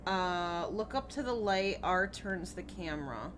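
Slow-talking Midwestern woman streamer. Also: on the low side, lively intonation, a bit bright, slight background noise. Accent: Midwestern American. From Chicago area. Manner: chatty, rambling with many fillers and giggles. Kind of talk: casual live game commentary.

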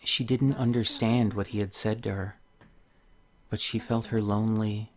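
A man's voice: lowish voice, fairly even intonation, normal speed. A man hosting a video game playthrough, commenting on the game and reading its story text aloud. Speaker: let's play host.

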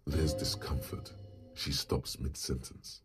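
A male actor voicing a detective's knowing, observant inner voice, narrating a video game in a measured way. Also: a bass voice, little pitch movement. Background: background music.

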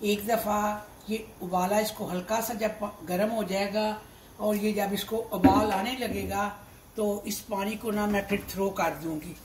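A woman's voice: medium pitch, fairly even intonation, a little slow, slight background noise. A woman in her sixties with a Pakistani accent, explaining cooking steps as she works, unscripted, often repeating herself. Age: 60s. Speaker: home cook, cooking show host. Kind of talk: step-by-step cooking instruction while working. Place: home kitchen at the stove, indoors. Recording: echoey kitchen, loud sizzle and pot clatter. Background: sizzling, stirring, clinking pots and dishes.

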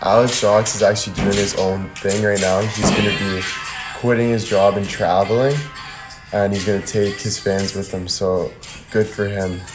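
A man's voice: on the low side, level tone, normal speed, music in the background. A laid-back young man in casual, slangy livestream chat, speaking into a phone indoors. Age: young adult. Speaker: livestream host. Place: indoor room by a window. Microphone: phone at arm's length. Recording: phone livestream with background noise.

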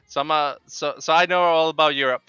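A middle-aged man with a Polish accent chats casually with viewers while gaming, in a halting way that often repeats words. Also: a little high, fairly even intonation, sharp tone, smooth, no echo. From Poland. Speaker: game streamer. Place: small home room with bookshelves. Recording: dull, lo-fi microphone sound.

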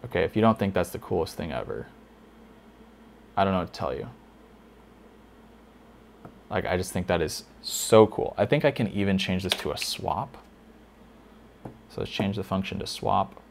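A man teaching a lighting console in a casual tutorial, thinking aloud with fillers, a little hoarse. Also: deep voice, animated, normal speed, pauses often, a little hiss or hum. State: slightly hoarse, losing his voice. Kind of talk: casual screen-recorded tutorial.

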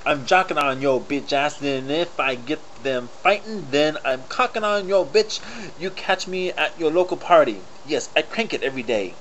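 A man's voice: on the high side, expressive, normal speed, a bit bright. A man playing a bold, mock-dramatic reciter, declaiming rap lyrics with exaggerated theatrical emphasis. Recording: noisy lo-fi webcam audio.

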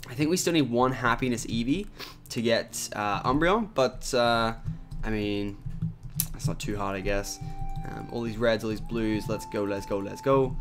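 A young man with an Australian accent, his voice raspy from just waking up, chatting casually over gameplay with lots of fillers. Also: mid-range voice, moderate pace, soft background music. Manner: chatty, rambling with many "like" and "um" fillers. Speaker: gaming YouTuber.

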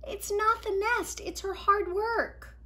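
A woman's voice: very high-pitched, steady tone, slow pace, sharp tone, pitched above the performer's own voice, exaggerated voice. A woman voicing an earnest young boy from a storybook who explains himself emphatically. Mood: exasperated, earnest.

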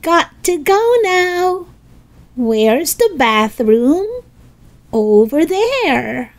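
A woman reading a picture book aloud to young children, expressively, with sing-song, deliberate phrasing. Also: high-pitched, sing-song, a little hiss or hum. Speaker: children's story reader.

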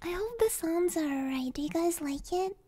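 A young woman speaking in a soft, breathy, often whispered voice, chatting hesitantly and gently as an ASMR streamer close to the microphone. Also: very high-pitched, lively intonation, moderate pace. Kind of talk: ASMR, casual chat with viewers. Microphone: close stereo ASMR microphone.

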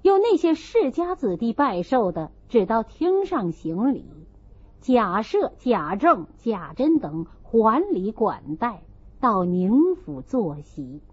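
Audiobook narrator: woman, expressive scripted reading, husky tone, lively intonation, dull lo-fi sound. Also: on the high side, slow.

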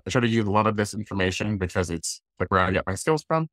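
American male speaker, thirties, lower register, conversational presenter, very dry recording. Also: lively intonation, fast talker, fairly bright tone, no room sound. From Washington, D.C., United States. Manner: casual, conversational. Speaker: guest speaker, design leader. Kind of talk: informal online presentation. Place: indoors, plain room on a video call.

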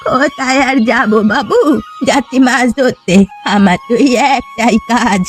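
A woman's voice: fairly high pitch, a little slow, soft background music, natural voice. A woman voicing a poor, sorrowful old fisherwoman in a cartoon folk tale, plaintive and resigned.

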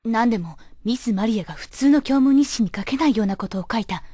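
A woman's voice: on the high side, expressive, fast, slight background noise, lightly acted. An actress playing a polite, cautious and easily flustered schoolgirl in an anime audio drama. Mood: explaining. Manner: hesitant, polite. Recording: loud music behind the voice.

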